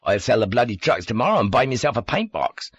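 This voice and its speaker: A man's voice: medium pitch, big pitch swings, fast, husky, dull audio. A man reading an audiobook as a blunt, sweary, hearty Devon man who is dismissive of everything, with a Devon accent. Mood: scornful disgust. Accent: Devon English.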